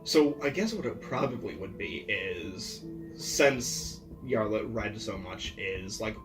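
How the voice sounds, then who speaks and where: A man's voice: on the low side, lively intonation, slow pace, poor audio. A man playing a tabletop game, chatting casually and thinking aloud as he explains an idea. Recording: ambient music behind the voice. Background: ambient background music.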